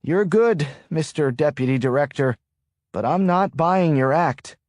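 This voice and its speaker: A man's voice: fairly high pitch, expressive, measured pace, darkish tone, no echo, studio quality. A man voicing a sarcastic, exasperated thriller hero in audiobook dialogue. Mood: defiant. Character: sarcastic, exasperated male amateur sleuth hero.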